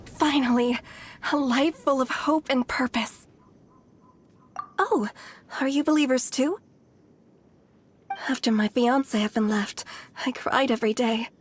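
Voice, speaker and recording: A woman's voice: a little slow, long pauses. An actress voicing a lovelorn young woman in a video game, a fervent, dreamy and hopeful believer. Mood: hopeful, overjoyed. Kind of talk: scripted video game voice acting. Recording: faint rumbling background noise.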